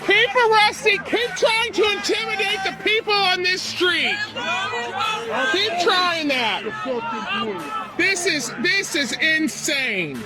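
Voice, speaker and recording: A woman ranting angrily like a street protester, indignant and shouting. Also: very high voice, expressive, slow pace, crowd noise. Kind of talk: angry protest rant. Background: music.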